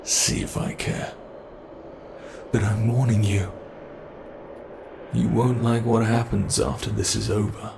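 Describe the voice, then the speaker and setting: A man playing a menacing monster in an ASMR roleplay, taunting captives with theatrical, deliberate mock politeness. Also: fairly low pitch, steady tone, gravelly, noisy background. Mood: irritated, threatening.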